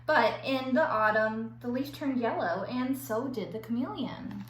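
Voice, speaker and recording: A woman schoolteacher reading aloud to young children and explaining an activity in simple, clear phrases. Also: fairly high pitch, animated, a little slow. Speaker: elementary school teacher. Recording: echoey room with faint background noise.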